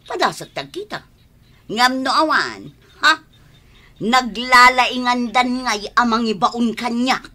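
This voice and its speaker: A woman's voice: on the high side, lively intonation, slow, sharp tone, exaggerated voice. A woman with an Ilocano accent playing a proud, argumentative mother in a radio drama. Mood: scornful. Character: proud, scornful, doting mother, quick to argue.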